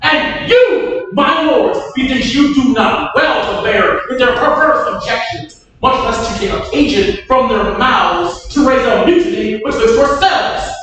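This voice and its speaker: A woman's voice: high-pitched, a little slow, a bit bright, a little hiss or hum. A woman playing a stern nobleman at court, declaiming emphatic, scolding Shakespearean verse in a staged reading. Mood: outraged. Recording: echoey hall, distant mic.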